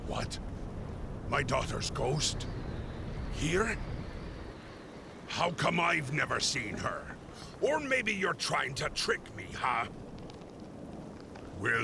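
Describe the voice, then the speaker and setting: An actor playing a bitter, grieving undead pirate captain in a video game, brooding and menacing with a growling, theatrical delivery. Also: male voice, mid-range voice, very animated, extremely slow, long pauses, noisy background, full character voice. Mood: suspicious, menacing. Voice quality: gravelly, growling. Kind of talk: video game cutscene acting. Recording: music behind.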